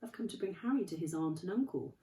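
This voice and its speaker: Woman doing a gentle old wizard, calm, mellow voice, echoey room. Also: fairly low pitch, fast, lightly acted. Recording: echoey room, distant mic.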